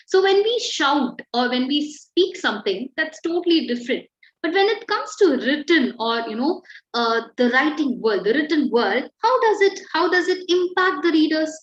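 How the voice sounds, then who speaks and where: A young adult woman, teaching an online writing class and explaining patiently with examples. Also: sits high. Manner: encouraging, explains with examples and fillers. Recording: echoey, slightly dull call audio.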